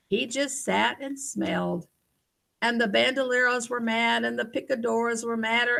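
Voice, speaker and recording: A woman reading a children's picture book aloud in an expressive storytelling manner, speaking directly to young listeners. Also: on the high side, creaky.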